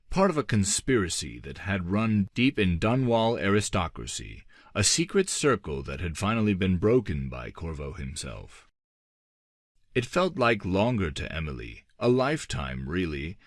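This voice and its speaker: A man reading a gothic fantasy audiobook as scripted narration, with measured, dramatic phrasing that often drops softly at the ends of phrases. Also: low-pitched, dry recording, studio quality.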